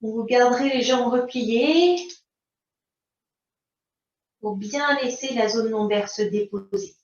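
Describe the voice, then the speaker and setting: A woman teaching yoga, giving calm, unhurried guidance in short phrases. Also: fairly high pitch. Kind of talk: guided yoga and relaxation instruction. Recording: roomy, distant mic, dull sound.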